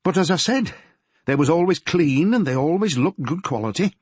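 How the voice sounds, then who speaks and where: Man playing a grieving Yorkshire mother, middle-aged, fretful, homely, higher voice, husky edge. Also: lively intonation, moderate pace, dry recording. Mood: sorrowful. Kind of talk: audiobook character voice.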